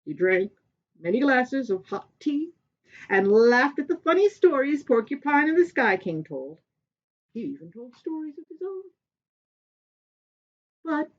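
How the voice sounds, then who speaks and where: Woman, animated story reading, highish pitch, slow pace, deliberate pauses, slightly dull recording.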